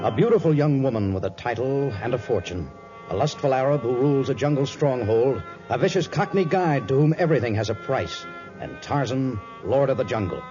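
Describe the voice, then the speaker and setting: A man's voice: medium pitch, animated, a little fast. A man narrating a 1950s radio serial in a dramatic, declamatory, suspenseful style. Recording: dull vintage radio recording. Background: loud dramatic music.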